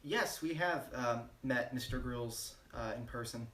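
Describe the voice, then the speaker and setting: A man co-hosting a mock-serious joke interview, rambling deadpan with many fillers. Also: mid-range voice, unhurried. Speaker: channel co-host. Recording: roomy, distant mic.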